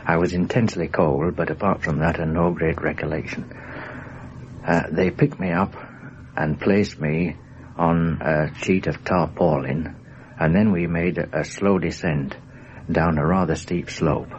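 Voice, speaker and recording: An extremely low male voice recalls a personal experience haltingly and factually. The old radio documentary recording is muffled, with faint noise behind him. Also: steady tone. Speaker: air crash survivor recounting his wartime experience.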